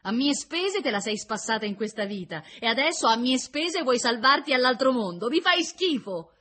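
A woman's voice: a little high, expressive, brisk, sharp tone, pitched above the performer's own voice. Woman acting a bitter, furious drunk woman prisoner. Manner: scornful, exclamatory, confrontational.

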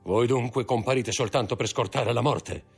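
A man voicing a desperate royal prosecutor, undone by grief and terror, his husky voice cracking upward into shrill cries. Every line is pushed hard and high, far above the reader's own low register. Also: normal speed, darkish tone, soft background music. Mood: accusing.

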